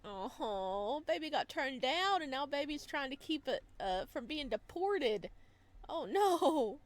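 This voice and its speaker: A woman narrating a visual novel playthrough, with expressive, emotionally invested reactions. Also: high-pitched, slow. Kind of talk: dramatic read-aloud with excited reaction commentary.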